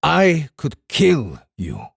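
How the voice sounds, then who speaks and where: A man playing a centuries-old enslaved demon, grave, proud and menacing, with a husky, rumbling voice and formal, weighty phrasing. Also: wildly swooping pitch, extremely slow, no echo, clean studio sound, heavily acted.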